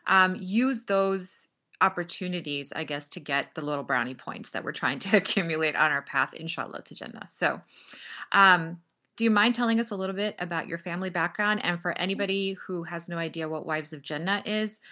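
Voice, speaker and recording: A woman from Texas hosting a podcast, upbeat and quick with asides and laughter. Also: lowish voice, pushed and bright. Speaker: podcast host. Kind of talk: casual interview chat.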